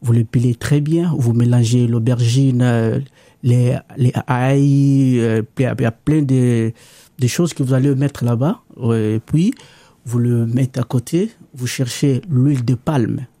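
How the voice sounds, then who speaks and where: A man's voice: mid-range voice, steady tone, measured pace, warm tone, no room sound. A man from DR Congo whose first language is Lingala, speaking French with a Congolese accent as a radio guest, hesitant with many fillers and restarts. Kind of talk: radio interview.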